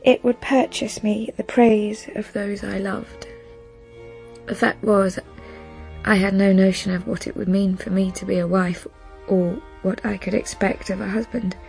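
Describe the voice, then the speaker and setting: A woman playing a wistful young bride who recalls her past in a measured, reflective radio drama monologue. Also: medium pitch, steady tone, gravelly, a little mellow, faint music behind, rough recording.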